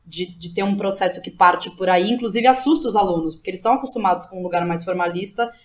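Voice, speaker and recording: A woman's voice: on the low side, fast talker. A Brazilian woman speaking as an interview guest, in casual, slangy talk with frequent check-in tags. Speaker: interview guest, playwright, director and drama teacher.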